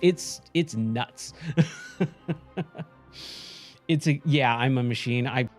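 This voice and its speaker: A man streaming late at night, chatting casually with viewers in a hoarse voice that is going. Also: mid-range voice, slow pace, faint music behind. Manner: chatty, rambling, frequent self-corrections. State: hoarse, losing his voice.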